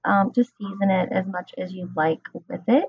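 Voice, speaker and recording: A woman's voice: mid-range voice, moderate pace, husky, no echo, lo-fi sound. A woman in her thirties, a home cooking vlogger, talking casually through her meal prep with a chatty, enthusiastic manner and plenty of ums. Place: home kitchen, indoors.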